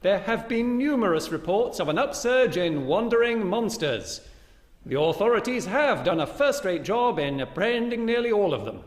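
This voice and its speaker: An actor playing a formal, chirpy announcer of a mock public information broadcast, with theatrical delivery. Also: male voice, sits high, big pitch swings, normal speed. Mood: mock urgency. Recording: echoey stage hall.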